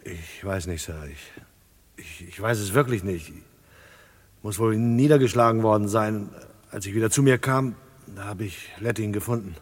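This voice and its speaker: A man's voice: on the low side, moderate pace, in a large hall, natural voice. A male actor in a German crime radio play, playing an anxious, defensive guard who feels cornered. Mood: subdued, uneasy. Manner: agitated, defensive, uneasy.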